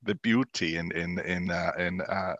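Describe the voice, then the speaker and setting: Low male voice, Icelandic accent, native Icelandic speaker, fifties, halting and repetitive phrasing, conversational, dry close sound. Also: steady tone, fairly bright tone, no room sound, muffled recording. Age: 50s. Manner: halting, often repeating words. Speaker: interview guest, university dean. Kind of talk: conversational radio discussion.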